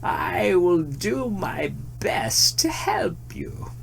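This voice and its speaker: A storyteller voicing a solemn, kindly old tree in a fairy tale, with drawn-out, breathy words. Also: medium pitch, wildly swooping pitch, a little hiss or hum, pitched above the performer's own voice, full character voice.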